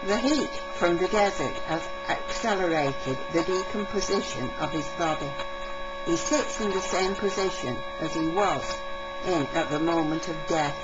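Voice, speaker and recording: A woman narrates a homemade audio drama from a script. Her voice sits fairly low, and the recording is dull with clear noise around it.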